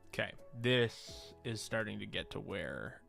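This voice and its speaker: A man's voice: lowish voice, animated, measured pace, vocal fry, fairly bright tone, soft background music. A man casually narrating his work on a livestream, musing and thinking aloud in hesitant fragments. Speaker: streamer and visual artist. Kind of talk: casual livestream commentary while working on screen.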